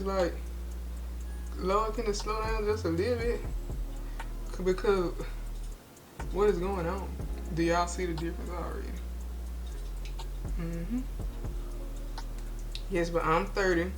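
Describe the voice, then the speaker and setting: A woman's voice: on the low side, a little hiss or hum. Chatty young woman with a lilt. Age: 20s. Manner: chatty, talks while doing her makeup. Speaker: beauty and faith vlogger. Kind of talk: casual get-ready-with-me chat. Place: home, at a mirror.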